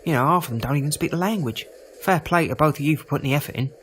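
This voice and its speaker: An actor playing a prejudiced, chatty market-stall trader in an audio drama, rambling in a folksy, defensive way. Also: male voice, fairly high pitch, racing, fairly bright tone, slight background noise, lightly acted. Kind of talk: full-cast audio drama acting.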